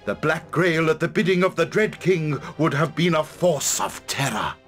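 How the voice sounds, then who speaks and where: An actor playing a solemn, learned sage in a fantasy game, speaking in a formal, theatrical way. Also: male voice, fairly high pitch, animated, moderate pace, a bit bright, faint music behind. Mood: portentous. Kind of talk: fantasy game cutscene acting.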